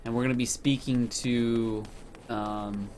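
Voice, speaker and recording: A man casually commenting on a game as he plays, thinking aloud with many fillers and pauses. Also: medium pitch, steady tone, a little mellow, a little hiss or hum. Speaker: let's play host. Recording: game music playing behind the voice.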